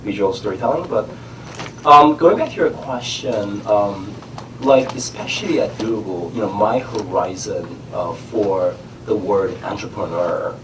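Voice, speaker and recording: Man, Korean accent, middle-aged, cold, halting with fillers, low-ish voice, echoey room. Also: distant mic, noisy background. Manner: halting, many "you know" fillers. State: has a cold, tongue numbed by lozenge. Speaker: startup founder. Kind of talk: casual onstage chat. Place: conference stage in a large room.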